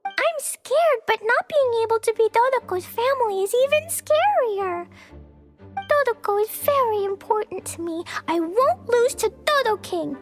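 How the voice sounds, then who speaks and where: Very high, lively little girl knight. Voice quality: small childlike voice. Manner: earnest, refers to herself in third person. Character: earnest, brave little girl knight, sweet and childlike. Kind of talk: scripted video game dialogue.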